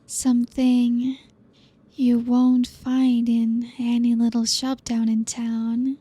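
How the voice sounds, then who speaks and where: Woman playing a slow, breathy, teasing vampire. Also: a little high, monotone, no room sound. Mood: silky teasing. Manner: slow, hushed, seductive, drawn-out phrasing with soft whispers. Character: teasing aristocratic vampire woman, possessive, lives alone in castle. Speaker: voice actor. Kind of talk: ASMR roleplay.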